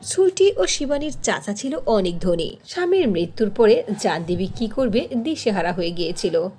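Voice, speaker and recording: A woman narrating a cartoon story in an expressive storytelling manner. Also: mid-range voice, moderate pace, slightly rough.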